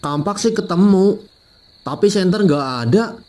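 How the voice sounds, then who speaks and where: A man's voice: high-pitched, normal speed, a little mellow, lightly acted. A man voicing a brash young student. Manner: casual slangy banter. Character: young male college student, brash and bold. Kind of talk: cartoon voice acting.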